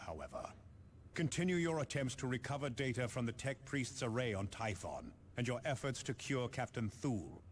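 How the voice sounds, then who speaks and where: An actor playing a formal, resolute space marine captain giving mission briefings in a video game. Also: male voice, medium pitch, slight background noise, close to an everyday voice. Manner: formal, commanding, deliberate.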